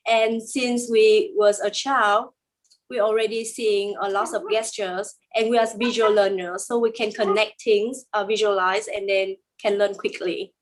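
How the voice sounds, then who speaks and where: A woman interpreting a deaf guest's answers aloud in an interview, in plain careful phrasing with frequent pauses and fillers. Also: medium pitch, flat delivery. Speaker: sign language interpreter voicing a deaf guest.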